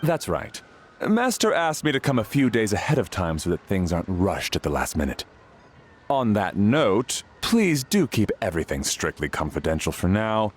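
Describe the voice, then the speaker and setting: Polite, very expressive butler. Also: male voice, lowish voice, moderate pace, slight background noise. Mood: courteous. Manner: deferential, formal, hesitant. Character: polite, worried male family butler in fantasy China. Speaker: voice actor. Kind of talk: scripted video game dialogue.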